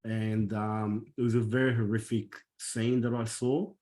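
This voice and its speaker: A man's voice: on the low side, flat delivery, a little slow, dark tone. Earnest Lebanese-born man. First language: Arabic. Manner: earnest, frequent ums and restarts. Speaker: guest. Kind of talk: candid personal storytelling.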